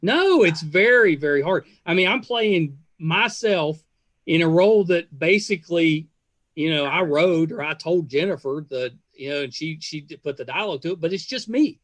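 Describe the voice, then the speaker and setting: A man, probably middle-aged, hosting a casual podcast interview, speaking haltingly and effusively with repeated words. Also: fairly high pitch, expressive, fairly bright tone, no room sound. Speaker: podcast host.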